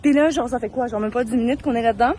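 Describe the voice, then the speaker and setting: A young woman with a Quebec French accent, chatting excitedly to her phone camera while walking city streets during a game of hide-and-seek; she has a slight cold and a sore throat. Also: fairly high pitch, racing, slight background noise. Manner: chatty, excitable, breathless when hurrying. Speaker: hide-and-seek player vlogging. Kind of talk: casual self-filmed vlog narration. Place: outdoors on busy city streets.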